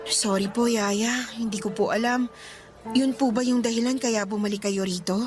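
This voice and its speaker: An actress playing a devoted, affectionate foster daughter in a Tagalog radio drama, polite and emotional. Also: female voice, on the high side, fairly even intonation, moderate pace, natural voice. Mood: apologetic, concerned. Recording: clear background music under the dialogue.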